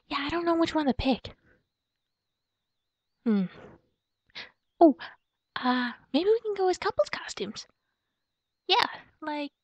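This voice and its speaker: A woman as a playful, high-voiced, unhurried young girlfriend. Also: no room sound, heavily acted. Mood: musing, upbeat. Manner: giggly, cutesy, soft and hesitant, musing aloud. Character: playful, excitable werewolf girlfriend shopping for Halloween costumes. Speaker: roleplay audio performer. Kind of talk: girlfriend roleplay ASMR, improvised-sounding monologue to a partner. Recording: dull lo-fi close dry recording.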